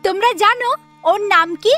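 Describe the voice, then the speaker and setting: A woman's voice: extremely high pitch, moderate pace, sharp tone, faint music behind, voice pushed up. A woman voicing a spiteful, mocking little girl with a sneering, taunting manner in an audio drama.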